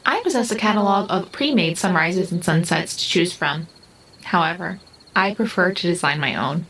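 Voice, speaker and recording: Woman acting a precise, deadpan artificial being, noisy background. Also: lowish voice, normal speed. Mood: calm, detached. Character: formal, literal, unemotional artificial female being. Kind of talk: audio drama acting.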